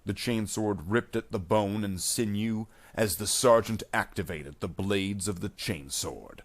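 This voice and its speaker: Low-pitched man narrating an action story. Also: a little slow. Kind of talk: scripted dramatic story narration.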